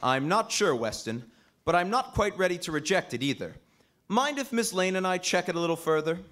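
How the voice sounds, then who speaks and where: An actor playing a composed, persistent gentleman sleuth in an old-time radio mystery. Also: high-pitched, very animated, brisk. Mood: measured. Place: live stage performance at a convention.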